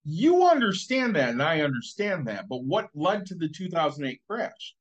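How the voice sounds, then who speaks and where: A man's voice: fairly high pitch, sing-song, moderate pace, muffled recording. A man in casual conversation who pushes back skeptically and raises cautionary points, with some swearing. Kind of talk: casual podcast chat.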